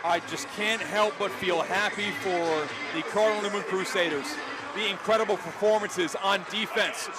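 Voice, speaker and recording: A man doing excited, emphatic play-by-play football commentary with dramatic phrasing. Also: high-pitched, noisy background. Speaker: play-by-play sports announcer.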